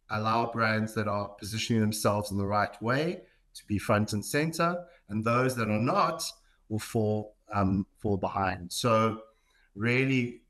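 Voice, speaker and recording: A man's voice: fairly low pitch, fairly bright tone. A middle-aged man with a South African accent, answering questions in a conversational way as a podcast guest. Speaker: podcast guest, marketing executive.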